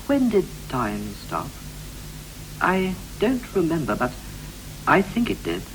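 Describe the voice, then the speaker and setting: An actor playing a wistful British husband recalling his boyhood in clipped, poetic verse with deliberate pauses, in the style of a 1960s radio play. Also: on the high side, wildly swooping pitch, exaggerated voice, poor audio. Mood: tender, haunted. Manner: incantatory short phrases, deliberate pauses. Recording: vintage 1960s radio recording with hum and hiss.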